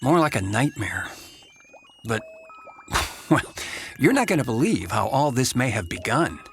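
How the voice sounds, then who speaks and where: An actor playing a grave, knowing, wry confidant in an audio drama, with deliberate dramatic pauses. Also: male voice, mid-range voice, sing-song, gravelly, fairly bright tone, slight background noise, heavily acted.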